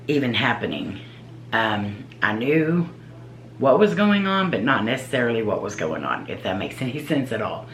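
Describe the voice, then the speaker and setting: A woman with a deep, low voice, a paranormal investigator telling her own story in a casual, earnest, halting way. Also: fairly bright tone, slight background noise. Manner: earnest, halting, searching for words. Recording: roomy echo.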